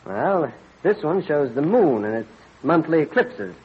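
An actor playing an earnest, absorbed colonial astronomer husband in an old-time radio drama, explaining eagerly with mild hesitations. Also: male voice, fairly high pitch, big pitch swings, measured pace, husky, exaggerated voice. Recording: dull vintage radio recording.

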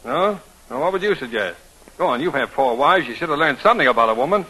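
A man's voice: fairly high pitch, animated, fast talker, bright, forceful tone, a little hiss or hum, rough recording. An actor playing an eager, cocky, bantering ad man in love, in 1940s radio romantic comedy, with emphatic delivery. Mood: eager scheming. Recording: old radio broadcast recording.

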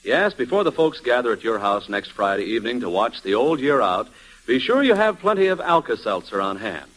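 A man's voice: medium pitch, very animated, fairly quick, fairly bright tone, low-quality recording. A man announcing a commercial in old-time radio style, addressing the listeners directly.

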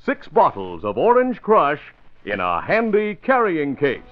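An American man announces an old-time radio serial with polished drama. His medium-pitched voice rises and falls widely and emphatically. Music plays along, and the recording is dull and vintage. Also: wildly swooping pitch, measured pace. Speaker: announcer and narrator. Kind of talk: 1940s-style radio announcing, scripted narration.

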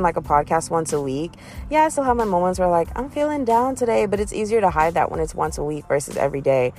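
Early-twenties woman hosting her own chat podcast; rambling, self-mocking, giggly, a bit low in pitch, soft music underneath. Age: 20s. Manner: chatty, self-deprecating, giggly, swings up in pitch. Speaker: podcast host. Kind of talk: casual solo podcast chat. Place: in a car.

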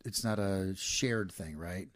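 A man's voice: low-pitched, slow. A middle-aged man co-hosting a podcast, chatting casually, teasing and swearing freely. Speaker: podcast co-host. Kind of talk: casual banter.

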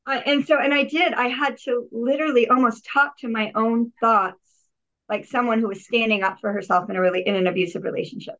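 A woman's voice: on the high side, fairly even intonation, a little fast, fairly bright tone. A woman guest on a podcast telling her personal healing story in an earnest, reflective way, often restarting and repeating words. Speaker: podcast guest, healer and yogi. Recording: dull lo-fi audio.

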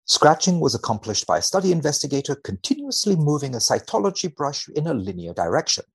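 A man who is a guest researcher on a podcast, reading and commenting on a study in a precise, measured, dry manner. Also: on the high side, wildly swooping pitch, slightly rough.

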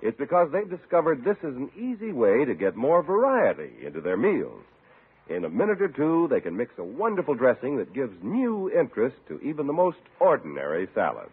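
A man's voice: medium pitch, huge pitch swings, brisk, breathy edge. A man announcing from a script in 1950s radio style. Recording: narrow-band old radio broadcast recording.

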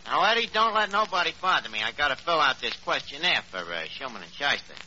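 An actor playing a gullible, self-important New York barkeep in 1940s radio comedy, using ungrammatical street talk with pompous airs. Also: male voice, a little high, very animated, quick pace, bright, forceful tone, exaggerated voice. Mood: earnest, proud. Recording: muffled, noisy 1940s radio recording.

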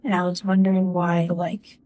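A woman's voice: on the low side, completely flat delivery, mellow, no room sound. Hesitant young woman giving testimony. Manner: halting and hesitant, lots of "like" and restarts. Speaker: witness. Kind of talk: courtroom testimony.

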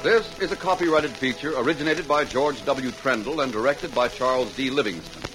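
A man's voice: mid-range voice, brisk, poor audio. A man with an American accent reading scripted credits as an old-time radio announcer.

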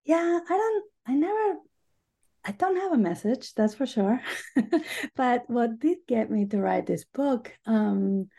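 A middle-aged woman from Argentina, a professor talking about her work in an interview, with many pauses and fillers. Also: on the high side, lively intonation, creaky, mellow. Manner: hesitant, many ums and uhs. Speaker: guest author, professor.

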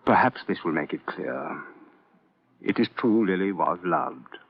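An actor playing a proud, weary psychiatrist in a classic radio drama, speaking in formal, deliberate, stilted phrases. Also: male voice, low-pitched, expressive, vocal fry, breathy edge, exaggerated voice. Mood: mournful, fervent. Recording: tinny, narrow old radio recording.

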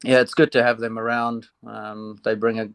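A South African man talks in a relaxed, halting way, filling his pauses with ums. His voice stays level with little rise and fall. The sound is dry and close, with no room around it. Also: fairly low pitch, gravelly, clear, resonant tone, dry recording. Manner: relaxed, hesitant with frequent ums. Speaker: assistant cricket coach. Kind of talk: phone interview.

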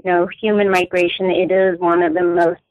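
A woman in her forties, raised in Denmark, speaking as an academic guest in an interview, choosing her words with many pauses and restarts. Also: lowish voice, little pitch movement, no echo, highs cut off. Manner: thoughtful, many fillers and restarts. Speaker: guest author, anthropologist.

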